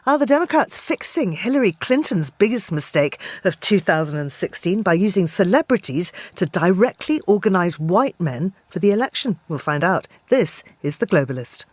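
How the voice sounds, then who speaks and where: A woman hosting a radio news show in a London studio, with a brisk broadcaster delivery. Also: on the low side, sing-song, a little mellow. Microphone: studio microphone.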